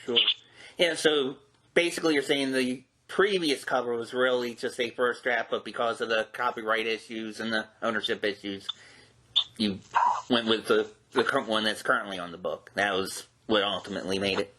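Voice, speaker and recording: A man's voice: lowish voice, fairly even intonation, normal speed, pushed and bright, poor audio. A man hosting a podcast, interviewing in a casual, folksy show-host way.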